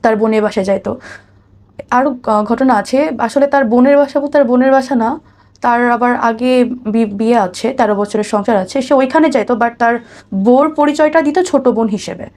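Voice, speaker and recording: Woman, Bangladeshi accent, fairly high voice, personal testimony, interview guest, repeats phrases, respectful address. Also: moderate pace, a little mellow. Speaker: guest telling her life story.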